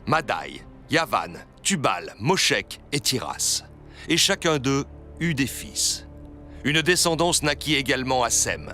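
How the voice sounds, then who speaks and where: Slow, bright-voiced male narrator. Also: medium pitch. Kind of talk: scripted biblical story narration.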